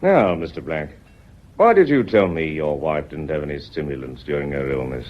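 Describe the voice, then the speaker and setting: An actor playing a courteous, dogged police inspector in a period mystery radio drama, politely and deliberately probing. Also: male voice, extremely low pitch, expressive, highs cut off. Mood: measured. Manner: polite, deliberate questioning with frequent "sir" and "miss".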